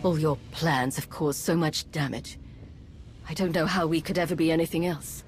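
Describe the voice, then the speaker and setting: Actress as female agent, lowish pitch, earnest, direct, loyal, scripted game dialogue. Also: fairly even intonation, normal speed, soft background music, close to an everyday voice. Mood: pained.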